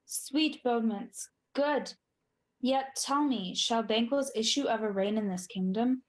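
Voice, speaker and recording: A woman's voice: a little high, a little slow, natural voice. A woman playing a proud, demanding tyrant king, declaiming Shakespeare in a radio play. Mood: defiant, agitated.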